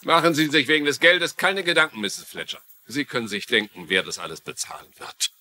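An actor playing an officious, insistent businessman, brisk and bossy, in a dubbed TV drama. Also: mid-range voice, bright, forceful tone, a little hiss or hum. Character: officious, insistent businessman smoothing over an accident. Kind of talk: dubbed TV mystery drama acting.

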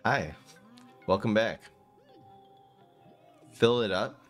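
Male streamer, thirties, lowish lively voice, rambling jokey chat. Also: drawn-out words, soft background music. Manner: joking, rambling, often laughs at himself. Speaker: game streamer. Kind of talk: casual live-stream commentary. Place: small room indoors.

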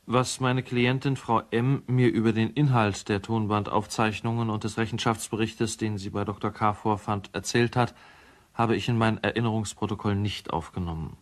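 An actor playing a careful lawyer who gives a formal, factual statement in a radio play. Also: male voice, lowish voice, steady tone, moderate pace, lightly acted. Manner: formal, dry, precise.

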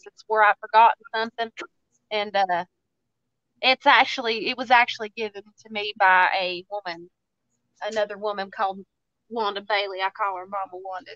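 A woman's voice: on the high side, fairly quick, pushed and bright, no echo, highs cut off. A woman in her thirties with a Southern US accent, chatting and joking casually while hosting a craft livestream. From Tennessee, USA. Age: 30s. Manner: chatty, joking, self-mocking.

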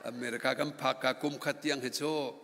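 A man's voice: mid-range voice, fairly even intonation, moderate pace, bright, forceful tone, a little hiss or hum. A middle-aged man preaching a sermon from a pulpit microphone in a large church hall, rising to emphatic passages. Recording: long church hall reverb.

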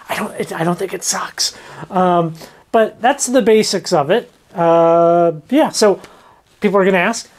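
Casual man explaining things. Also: high-pitched. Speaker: host of a rockhounding channel. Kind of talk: casual explainer talking to camera. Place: cluttered indoor workshop. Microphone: lavalier clipped on shirt.

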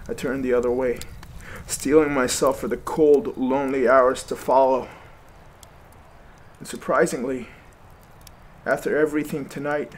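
A man's voice: medium pitch, a little hiss or hum, natural voice. An actor playing a wary young man who narrates in first person, hesitant and full of ums, haunted by nightmares. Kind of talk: audio drama narration.

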